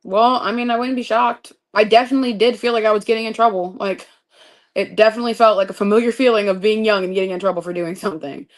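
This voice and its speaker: A young woman tells a story in casual chat, with a voice of ordinary pitch. She describes things expressively and in vivid detail. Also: fairly even intonation, fast.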